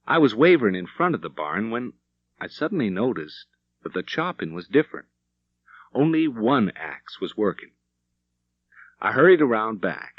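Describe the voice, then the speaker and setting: A male audiobook narrator reads a Western tale with vivid drama. His pitch moves in lively swings through the sentences. The recording is dry and a little dull. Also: moderate pace, long pauses, slightly rough, no echo. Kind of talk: expressive storytelling narration of a Western novel.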